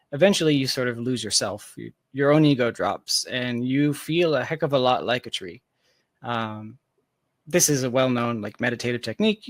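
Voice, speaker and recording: A man's voice: mid-range voice, dry recording. A man in a casual, reflective conversation, thinking aloud in an informal, philosophical way. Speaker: podcast guest, tech founder.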